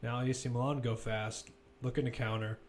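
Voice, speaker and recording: A man's voice: medium pitch, little pitch movement, moderate pace, a little hiss or hum. A man giving live football play-by-play commentary, describing the action as it unfolds in short, clipped phrases. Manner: running present-tense description in clipped phrases.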